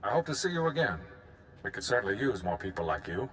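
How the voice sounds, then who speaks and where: A commanding game general with a low, bright, forceful voice. His delivery is formal, gracious and animated, over faint background noise. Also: quick pace, rough recording. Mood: formal, approving. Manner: formal, authoritative. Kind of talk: video game cutscene acting.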